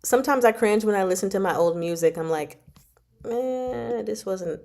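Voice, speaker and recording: A woman's voice: medium pitch, moderate pace, dark tone. A woman hosting a casual livestream from home, chatting informally and giggly as she reads and answers viewer comments. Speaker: livestream host reading chapters aloud and chatting with viewers.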